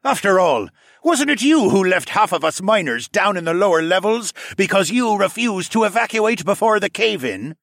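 A man's voice: medium pitch, huge pitch swings, slow, a bit bright, no room sound, studio quality, voice pushed up, heavily acted. A man voicing a hostile male lizard-man miner who hisses and accuses. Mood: bitter. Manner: hissing, accusing, spits words.

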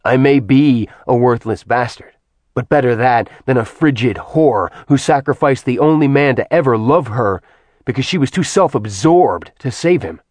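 A man's voice: lowish voice, normal speed, hardly any pauses, dry recording, close to an everyday voice. A man playing a bitter, vengeful immortal. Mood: venomous. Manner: snarling, venomous, raised voice.

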